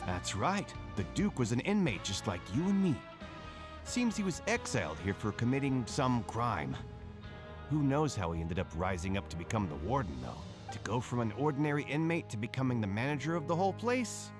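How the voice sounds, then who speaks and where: Man playing an animated, easygoing inmate. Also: medium pitch, normal speed, close to an everyday voice. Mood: gossipy. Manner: casual, chatty. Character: friendly easygoing card-playing prison inmate. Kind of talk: video game voice acting. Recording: music behind the voice.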